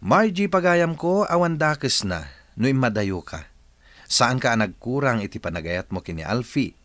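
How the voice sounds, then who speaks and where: A man's voice: mid-range voice, expressive, normal speed, fairly bright tone, no room sound, close to an everyday voice. A man with a Filipino accent playing an earnest advice host in scripted radio narration.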